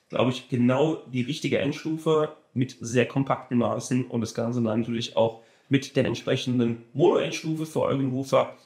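A man's voice: medium pitch, darkish tone, roomy sound. A man presenting a car audio amplifier in a casual review, talking with enthusiasm and repeating words for emphasis.

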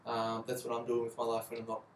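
A young man with an Australian accent talks in a loose, rambling way, full of "um"s and quick laughs. He sits back from the camera in a bright flat, so his voice sounds roomy and a bit distant with a light hiss behind it. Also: medium pitch. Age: young adult. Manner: rambling, jokey, laughs often, many fillers. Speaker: vlogger. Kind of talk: casual couple vlog intro. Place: apartment by big windows, indoors. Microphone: camera mic from a few feet away. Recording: roomy, distant, slight noise.

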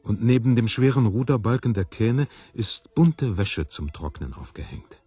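A man reading literary prose as a scripted narration, with deliberate, measured phrasing. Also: medium pitch, sing-song, warm tone, husky. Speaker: reader.

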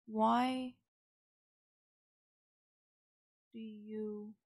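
A woman voicing a polite, puzzled, emotionless female servant robot in an audio roleplay, with formal, halting, literal phrasing. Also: medium pitch, a little mellow, dry recording, rough recording. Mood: confused, inquiring. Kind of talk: scripted audio roleplay, ASMR.

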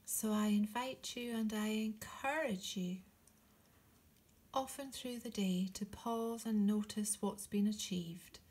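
A woman leading a guided meditation in a soft, breathy, near-whispered voice, gently encouraging and soothing, with long pauses. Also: medium pitch.

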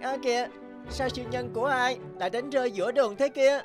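A woman voicing an earnest, easily indignant schoolboy in a cartoon, expressive and plaintive. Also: fairly high pitch, fast talker, natural voice. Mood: curious, friendly. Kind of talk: animated cartoon dialogue. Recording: background music under dialogue.

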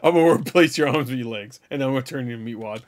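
A man's voice: mid-range voice, rapid-fire, slight background noise. A man hosting a reaction video with casual, joking commentary, irreverent and slangy with some swearing.

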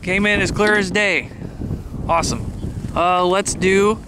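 A man in his thirties with an American accent talks casually as a vlog host. His voice sits a little high. There is clear ambient noise behind him. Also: fairly even intonation, a little slow. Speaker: vlog host reviewing radios.

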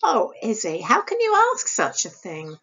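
A woman's voice: mid-range voice, huge pitch swings, moderate pace, fairly bright tone, husky, lo-fi sound, exaggerated voice. A woman voicing an eager, admiring teenage younger sister in a storybook reading, gushing and earnest.

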